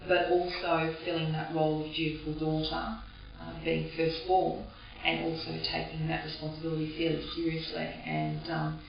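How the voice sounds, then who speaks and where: A woman's voice: low-pitched, flat delivery, vocal fry. Reflective Australian woman, echoey and distant. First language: Greek. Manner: reflective, halting. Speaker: interviewee. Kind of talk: personal reminiscence. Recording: echoey, distant, dull recording.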